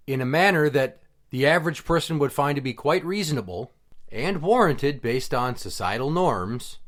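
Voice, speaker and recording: Blunt, profane male podcast host. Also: fairly high pitch. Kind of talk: casual podcast chat.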